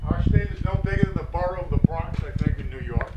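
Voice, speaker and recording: Rhode island guy teaching golf, higher voice, joking around, far off mic, muffled and noisy outside. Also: fast talker, reverberant. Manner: chatty, joking, loose instruction. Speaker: golf instructor. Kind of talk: outdoor golf clinic instruction. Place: outdoors at a golf practice area. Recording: distant, muffled, noisy outdoor recording.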